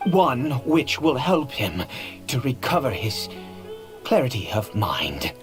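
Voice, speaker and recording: An actor playing an ancient cursed nobleman in a video game, frail, desperate and unstable, speaking theatrically and haltingly with erratic swings. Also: mid-range voice, big pitch swings. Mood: earnest, brooding. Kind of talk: dramatic video game voice acting. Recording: game audio with faint music behind.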